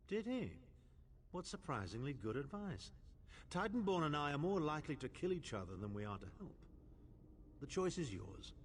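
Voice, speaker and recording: An actor playing a haughty, scheming older battlemage in a fantasy video game, pompous, sarcastic and theatrical. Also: male voice, medium pitch, slight background noise, natural voice.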